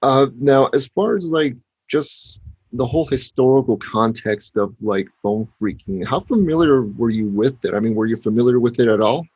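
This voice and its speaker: Guy interviewer, husky voice, casual chat, bit dull lo-fi sound. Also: mid-range voice, normal speed, a little mellow, dry recording. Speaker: interviewer, video channel host.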